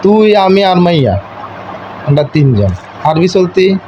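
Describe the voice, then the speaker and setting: A man's voice: sits high, big pitch swings, a little slow. A young man speaking an eastern Bengali regional dialect, lecturing his wife in an informal domestic argument, insistent, repetitive and domineering. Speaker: husband on a call with his wife. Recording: muffled call recording with faint noise.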